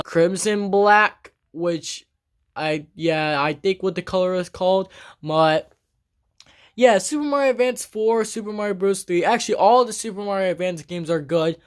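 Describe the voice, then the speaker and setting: A game collector vlogging casually about their collection, talking in a halting, rambling way with many restarts and blunt grumbles. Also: medium pitch, clean tone. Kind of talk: casual collection show-and-tell vlog. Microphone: camera on a tripod.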